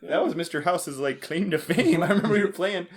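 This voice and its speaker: A man's voice: high-pitched, a little fast. Casual American man hosting a podcast. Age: 40s. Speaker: podcast host. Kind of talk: casual board game banter.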